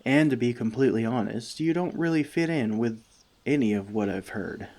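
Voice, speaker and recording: A man playing a friendly forest elf in an ASMR roleplay, talking to the listener with dry, gentle teasing. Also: mid-range voice, lively intonation, moderate pace, natural voice. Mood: relaxed, open.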